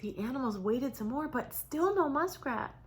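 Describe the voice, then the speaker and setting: A woman reads a children's story as a storyteller for young kids, in a dramatic manner with drawn-out words and hushed moments. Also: sits high, expressive, a little hiss or hum. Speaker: storybook reader for preschoolers. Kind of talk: expressive children's story narration.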